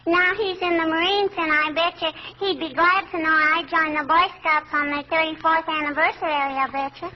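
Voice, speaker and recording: A woman's voice: extremely high pitch, fairly even intonation, moderate pace, hardly any pauses. An actress playing a cheeky, chattering little neighbor girl who pesters in a childlike way in a 1940s radio comedy. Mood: boastful, chatty. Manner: pestering, childlike, repeats catchphrases and questions. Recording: dull vintage radio recording, faint noise.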